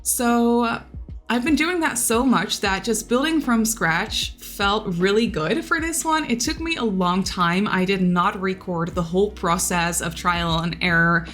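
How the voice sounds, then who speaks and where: A woman's voice: mid-range voice, unhurried. A young woman whose first language is Dutch, chatting casually in a voice-over about a building game, with lots of fillers and repeated words. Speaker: Sims builder YouTuber. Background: soft background music.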